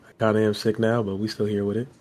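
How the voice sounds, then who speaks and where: A man from Philadelphia co-hosting a podcast, his voice a little hoarse from being sick, rambling with repeated words and "you know what I'm saying.". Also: fairly low pitch, little pitch movement, fast talker, darkish tone. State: hoarse from illness. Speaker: podcast co-host. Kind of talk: casual chat.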